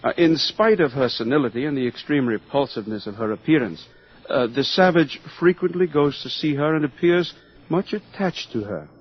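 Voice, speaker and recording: A man's voice: on the high side, lively intonation, moderate pace, husky. A man playing a vain, mocking, socially anxious character in an old-time radio drama, with a fussy, theatrical manner. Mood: pompous, reporting. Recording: dull, lo-fi old radio recording.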